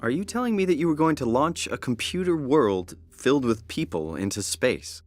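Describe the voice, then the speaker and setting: Male actor as earnest everyman lost in a machine body, game drama, hesitant, animated intonation, music underneath. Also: medium pitch, normal speed, natural voice. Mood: puzzled. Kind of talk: video game voice acting.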